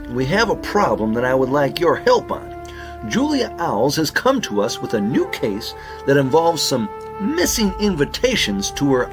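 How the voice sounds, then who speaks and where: A man voicing an earnest, excitable raccoon detective running a case in a children's audio drama. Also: on the high side, low-quality recording. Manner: polite, businesslike, flustered when surprised.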